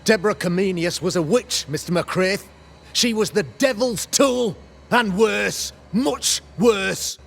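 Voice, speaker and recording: A pompous, preaching colonial governor. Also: male voice, sits high, takes it slowly, gravelly, a bit bright, exaggerated voice. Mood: righteous, forceful. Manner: pompous, formal, oratorical. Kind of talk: theatrical period drama sermonizing.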